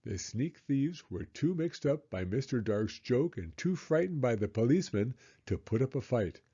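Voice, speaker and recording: A man reading a children's story aloud in a deliberate, expressive storytelling style. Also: medium pitch, breathy edge, dull audio. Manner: deliberate, acts out character voices. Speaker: children's audiobook reader.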